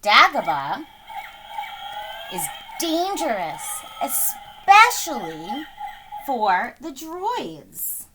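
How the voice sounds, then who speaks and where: A woman reads a storybook aloud in a fairly high voice, unhurried and deliberate. She stresses words dramatically, over faint background noise. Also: wildly swooping pitch. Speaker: storyteller reading a children's book aloud. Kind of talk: expressive scripted read-aloud narration.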